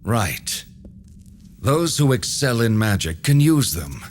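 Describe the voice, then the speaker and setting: An actor playing a helpful, knowing male enchanter who explains things in a measured way in a video game cutscene. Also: medium pitch, very animated, a little hiss or hum. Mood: instructive, calm.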